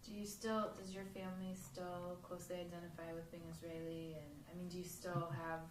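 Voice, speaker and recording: A woman's voice: lowish voice, flat delivery, fairly quick. Female interviewer, rambling questions, distant and echoey. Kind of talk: oral history interview. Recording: roomy, off-mic with faint noise.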